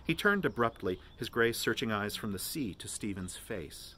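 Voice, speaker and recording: A man reading literary prose aloud as an expressive narrator. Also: lowish voice, moderate pace, slight background noise. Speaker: volunteer reader of a novel.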